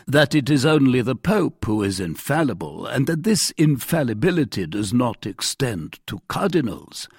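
A man voicing a calm, courteous, shrewd musketeer captain in an audiobook, measured and quietly firm. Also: mid-range voice, gravelly, husky, dry recording, studio quality, pitched above the performer's own voice, heavily acted. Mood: measured insistence. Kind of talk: dramatised audiobook character voice.

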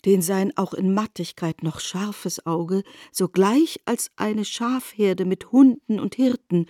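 A woman narrating a literary radio drama in a measured storytelling manner. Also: mid-range voice, warm tone, no echo, clean studio sound.

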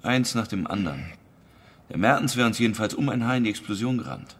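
A man's voice: fairly low pitch, animated, fast talker. An actor playing a smug, evasive security policeman with a glib, patronising manner in naturalistic radio drama dialogue. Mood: evasive, casual. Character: smug, evasive male state security policeman, falsely jovial.

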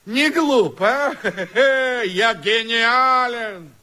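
A man's voice: very high-pitched, very slow, bright, forceful tone. A man performing in a radio play, boastful and swaggering in a theatrical way.

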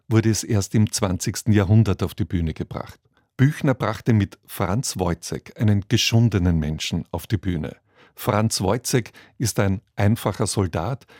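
A man's voice: fairly low pitch, a little mellow, no room sound, clean studio sound. A man reading a formal, scripted introduction as a radio presenter. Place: dry broadcast studio.

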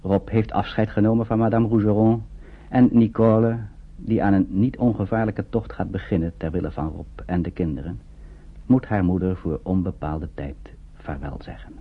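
A man narrates a scripted radio drama in a measured, storytelling way. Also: deep voice, steady tone, husky. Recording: muffled narrow-band old radio recording.

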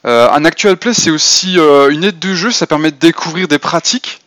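A man's voice: on the high side, brisk, fairly bright tone, dry recording, studio quality. A man giving a semi-prepared podcast talk on role-playing, hesitant and full of fillers and restarts. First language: French.

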